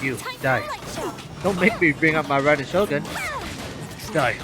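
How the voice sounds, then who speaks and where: Playful male gamer over loud music. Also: a little high, a little slow. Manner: playful, talking to himself and the game. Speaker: gaming streamer. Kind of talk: casual playthrough commentary. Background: game music.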